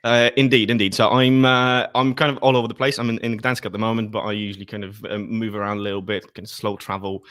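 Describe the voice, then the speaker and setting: Polish guy, native polish speaker, being interviewed, deepish voice, no room echo, chatty answers. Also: level tone, quick pace, few pauses, a bit bright, clean studio sound. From Poland. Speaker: interview guest, workplace culture facilitator.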